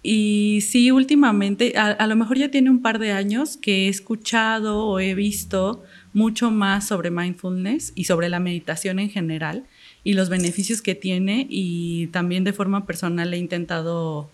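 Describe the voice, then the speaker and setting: A woman's voice: medium pitch, steady tone, unhurried. A woman co-hosting a podcast, chatting in a friendly way with plenty of hedging fillers. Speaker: podcast co-host. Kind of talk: casual interview chat.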